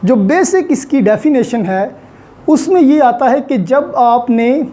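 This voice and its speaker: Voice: high voice, takes it slowly, dark tone. A lecturer with a Pakistani accent, explaining law methodically, step by step with examples, mixing in English terms. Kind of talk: explanatory lesson, Urdu mixed with English legal terms.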